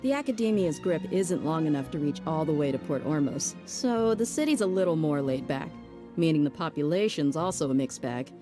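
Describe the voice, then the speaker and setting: An actress voicing a tough female mercenary bodyguard who is blunt and casual but soft at heart, in a game cutscene. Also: low-pitched, animated, fairly quick, over music. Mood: explaining. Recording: game score softly behind.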